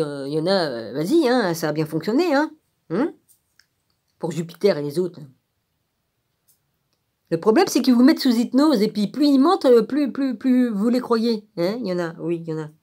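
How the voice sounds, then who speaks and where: Female vlogger, medium pitch. Blunt, crude, sarcastic informal rant delivery. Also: very animated, moderate pace, pauses often, dark tone. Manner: blunt, irritated, crude colloquial speech; sarcastic, punctuates phrases with rhetorical tags.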